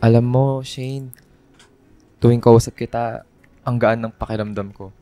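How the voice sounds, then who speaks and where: A mellow, dark-toned young man, voiced by an actor playing a sweet anime-fan crush in a radio drama. He is sincere and friendly, with music underneath. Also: mid-range voice, steady tone, measured pace, close to an everyday voice. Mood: tender.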